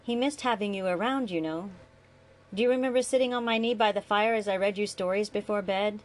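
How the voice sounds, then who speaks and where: A woman voicing a guilty middle-aged father, speaking softly and coaxingly to the son he abandoned. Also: mid-range voice, fairly quick, lightly acted, low-quality recording.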